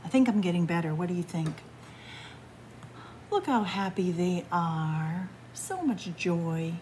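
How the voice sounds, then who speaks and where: A middle-aged woman reading a picture book aloud to children in an animated, deliberate storytelling manner. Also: fairly low pitch, slight background noise. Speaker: library story-time reader. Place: indoors in a library among bookshelves.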